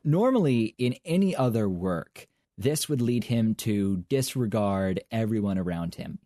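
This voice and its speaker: Low, dark-toned man with dry wit. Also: no room sound. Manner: articulate, dry wit, thoughtful. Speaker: podcast co-host. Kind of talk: casual review chat.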